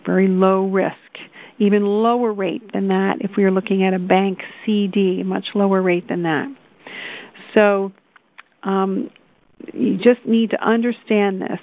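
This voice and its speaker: A woman giving a measured explanatory talk as a financial advisor on a conference call, posing rhetorical questions over a telephone line. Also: mid-range voice, vocal fry. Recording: tinny, narrow phone line.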